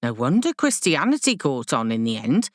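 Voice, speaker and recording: A woman's voice: extremely low pitch, huge pitch swings, normal speed, no echo, studio quality, full character voice. A woman reading an audiobook, voicing a blunt, grumbling woman learner who questions things in a colloquial, querulous way. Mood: grumbling, reluctant. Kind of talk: audiobook character dialogue.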